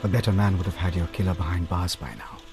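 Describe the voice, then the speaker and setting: An actor with an Indian accent playing a sharp, brooding male detective in a radio drama. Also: sits low, fairly even intonation, fast talker, over music. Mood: dejected.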